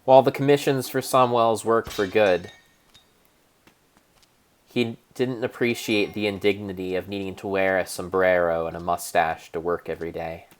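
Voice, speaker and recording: Male streamer, low voice, unhurried mock-epic narration with stumbles, faint hiss behind. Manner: deadpan storyteller, halting restarts and self-corrections. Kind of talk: mock-dramatic improvised narration.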